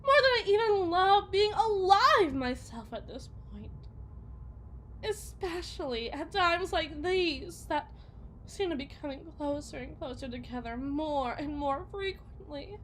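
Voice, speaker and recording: A woman playing an anxious, lovesick suburban wife and mother in an ASMR roleplay, speaking in a halting, emotional way. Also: extremely high pitch, animated, a bit bright, slight background noise, exaggerated voice. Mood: tearful devotion. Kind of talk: ASMR roleplay, dramatic monologue.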